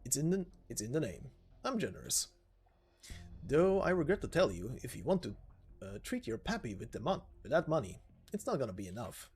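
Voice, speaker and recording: A man voicing a smooth, scheming male benefactor who makes shady offers politely and persuasively in a game story. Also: medium pitch, sing-song, a little fast, a little mellow, faint music behind, natural voice. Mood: calm, persuasive.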